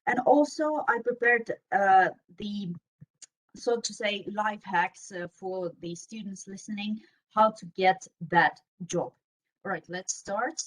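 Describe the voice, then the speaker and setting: A woman's voice: medium pitch, takes it slowly, fairly bright tone, rough recording. A young woman from Russia, a native Russian speaker with a Russian accent, giving an informal career presentation on an online panel. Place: online video meeting with screen sharing.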